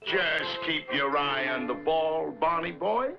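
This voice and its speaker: An actor playing a loud, blustering cartoon caveman husband in a vintage cartoon. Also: fairly high pitch, slow, vocal fry, muffled recording, loud music behind the voice. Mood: cocky. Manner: brash, shouting.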